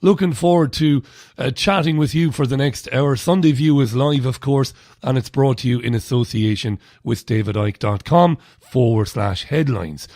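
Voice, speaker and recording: A man's voice: medium pitch, moderate pace, darkish tone, dry recording, clean studio sound. A man in his early forties hosting a live radio show, chatty and blunt, sounding a little throaty today. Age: 40s. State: slightly throaty. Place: radio studio.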